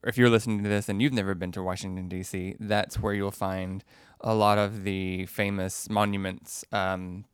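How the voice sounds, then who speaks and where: A young adult man co-hosting a casual podcast, speaking in a measured, easygoing way. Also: deep voice, little pitch movement, clean tone, dry recording, clean studio sound. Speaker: podcast co-host. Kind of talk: casual conversational podcast chat.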